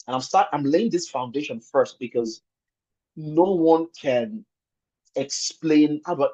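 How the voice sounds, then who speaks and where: Male, Nigerian accent, rough-edged husky voice, conversational webinar presenter, dull lo-fi call sound. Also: medium pitch, darkish tone. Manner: conversational, repeats and rephrases for emphasis. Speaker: webinar speaker. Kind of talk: informal presentation telling a personal story. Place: online video call with screen sharing. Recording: muffled lo-fi online call audio.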